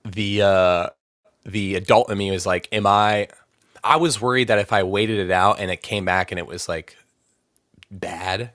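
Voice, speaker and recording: A man's voice: on the low side, unhurried, fairly bright tone, no room sound, studio quality. A man talking as a podcast guest in easygoing chat, rambling with fillers and restarts. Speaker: band vocalist, podcast guest. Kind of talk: casual interview chat.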